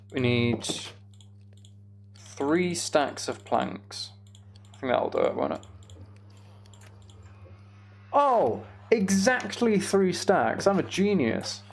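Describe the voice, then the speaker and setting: A young man giving casual, meandering gameplay commentary on a live stream, thinking aloud and chatting to viewers, with a creaky voice. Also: fairly high pitch, a little hiss or hum.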